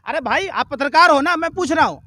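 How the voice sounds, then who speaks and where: Very high-pitched, combative man arguing politics. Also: animated, a little fast, bright, forceful tone. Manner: combative, indignant, firing rhetorical questions; emphatic, repetitive oratory. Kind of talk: heated political argument and speech.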